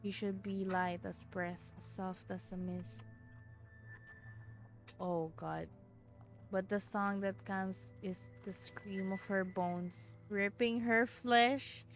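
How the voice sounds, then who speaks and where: A woman's voice: mid-range voice, fairly even intonation, takes it slowly. A woman streamer, reading a visual novel aloud expressively and dramatically, with casual reactions. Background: background music.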